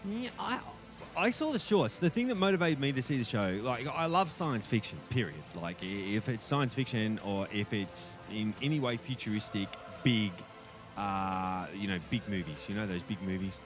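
A man's voice: fairly low pitch, lively intonation, normal speed. Casual Australian man with faint music behind. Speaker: guest podcaster and film reviewer. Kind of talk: casual podcast chat.

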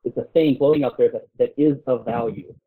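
A man speaks over a narrow, phone-like line with a rough, husky edge to his voice. He argues his case informally and intellectually, haltingly, often repeating words as he works toward each point. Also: medium pitch. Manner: halting, repeats words while building arguments. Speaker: guest speaker on a discussion show.

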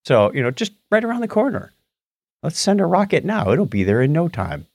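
Animated man hosting a casual podcast. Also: on the high side, brisk, vocal fry, dry recording, studio quality. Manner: wry, jokey explainer. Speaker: podcast host. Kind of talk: casual news chat with banter.

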